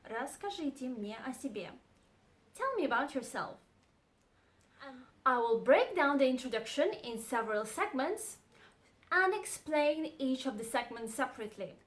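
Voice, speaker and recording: Woman, higher voice, slow clear repetition, echoey room. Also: lively intonation. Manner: slow, clear model phrases, repeated twice. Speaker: Russian teacher. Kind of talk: language lesson.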